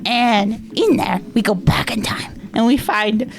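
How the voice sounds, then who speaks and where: A woman voicing a small, childlike, excitable storyteller in a tabletop game, rambling bluntly and getting flustered, with music playing behind. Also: a little high, a little slow, gravelly. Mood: excited, rambling.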